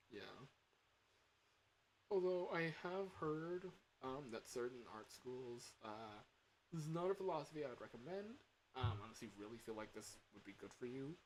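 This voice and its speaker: A young man livestreaming in a casual chat, rambling and halting as he corrects himself mid-sentence. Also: medium pitch, animated, a little hiss or hum, poor audio. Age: young adult. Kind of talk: casual chat with stream viewers.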